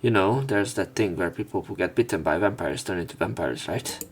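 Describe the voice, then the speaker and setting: A man reading visual novel text aloud as a let's play narrator, in measured short phrases. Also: sits low, animated, husky. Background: game music.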